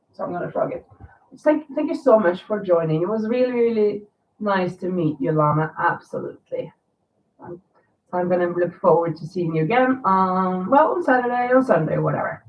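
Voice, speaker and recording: A woman in her fifties chats loosely and rambles, her voice a little nasal and raspy from a lingering cold. She sits some way from the mic. The sound is thin like a phone line and echoes in the room. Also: medium pitch, distant mic. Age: 50s. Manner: chatty, rambling, reads viewer comments aloud. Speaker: crochet livestream host. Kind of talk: casual livestream chat. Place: home.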